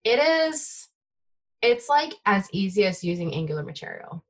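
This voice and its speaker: A young adult woman from the Bay Area, whose first language is Taiwanese, chatting excitedly as a guest developer in an interview. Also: mid-range voice, normal speed. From United States (Bay Area). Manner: excitable, filler-heavy, trailing off. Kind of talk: casual tech interview chat.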